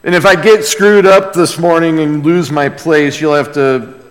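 A male pastor gives a conversational sermon in a fairly high voice. He takes his time, speaking in a folksy, check-in style, and his words ring with long reverb from a large hall. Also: steady tone, unhurried. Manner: folksy, asks rhetorical check-in questions. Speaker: pastor preaching. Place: large reverberant room, preaching to a congregation.

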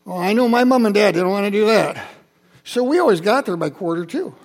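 A man's voice: high voice, animated, fairly quick. A man in his seventies, from Holland, Michigan, telling Bible and childhood stories to a congregation in a chatty, conversational way with asides to the listeners. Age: 70s. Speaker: church speaker. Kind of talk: personal sermon storytelling.

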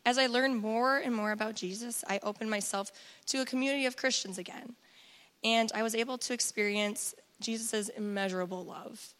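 A hoarse woman who is getting her voice back, giving an earnest personal testimony at church before her baptism. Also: mid-range voice, fairly bright tone. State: hoarse, recovering from voice loss. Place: church sanctuary. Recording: echoey hall with long reverb.

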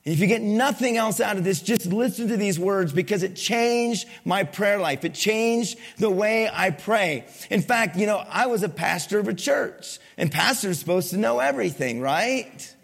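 A male pastor preaching a sermon to his congregation, asking it questions and swinging between loud emphasis and soft, low lines. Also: lively intonation, normal speed, creaky, hardly any pauses, breathy edge. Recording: long hall reverb.

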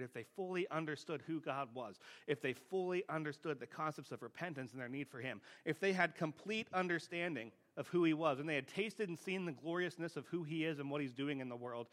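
A man's voice: on the high side, fairly bright tone. A man preaching a teaching sermon in a plain-spoken, conversational way, often checking in with rhetorical questions.

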